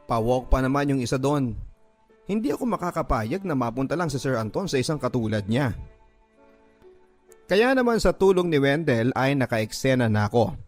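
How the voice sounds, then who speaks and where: A man tells a first-person story in dramatic radio style. His voice sits at a medium pitch, and a music bed plays behind him. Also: darkish tone. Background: background music.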